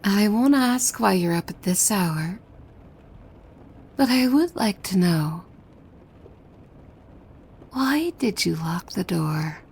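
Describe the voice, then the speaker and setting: A woman playing a possessive, devoted demon maid in an ASMR roleplay, coy and formal, with a soft breathy voice that often slips into whispers. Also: mid-range voice, animated, takes it slowly, pauses often, a little hiss or hum. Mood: coaxing, knowing. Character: possessive, devoted young female demon maid, sweetly menacing. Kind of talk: ASMR roleplay, scripted monologue to the listener.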